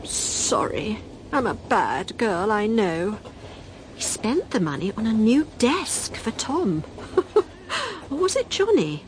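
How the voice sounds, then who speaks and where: A brash older Englishwoman in a radio play, gossipy and shameless. Her high voice swoops dramatically as she gushes and teases. She takes her time over each phrase, relishing it. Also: sing-song, takes it slowly, slight background noise. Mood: mock contrite. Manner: gushing, teasing, theatrical gasps and giggles.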